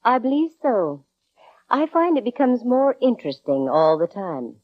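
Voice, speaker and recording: An actress playing a brisk, wry young American private detective in 1940s radio drama style. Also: female voice, a little high, big pitch swings. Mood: wry narrating. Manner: wry, breezy, hard-boiled. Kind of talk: 1940s radio acting, first-person detective narration. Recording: dull, band-limited old radio recording.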